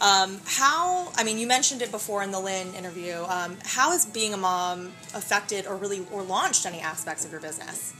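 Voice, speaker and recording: A woman's voice: mid-range voice, expressive, normal speed, bright, forceful tone, faint music behind, poor audio. A woman in her thirties, a columnist chatting casually and upbeat with a guest, with many ums. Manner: chatty, upbeat, filler-heavy questioning. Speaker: interviewer and columnist. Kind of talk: casual interview.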